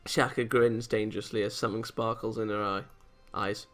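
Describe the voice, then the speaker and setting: A man reading a visual novel aloud as a let's-play narrator, expressive and theatrical, with game music behind him. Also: on the low side, normal speed.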